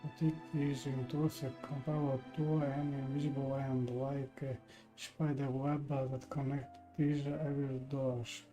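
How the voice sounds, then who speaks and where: Male streamer, flat and slow halting read of game text, faint music behind. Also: medium pitch, poor audio. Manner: halting, stumbling reading. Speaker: live streamer. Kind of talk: reading game text aloud.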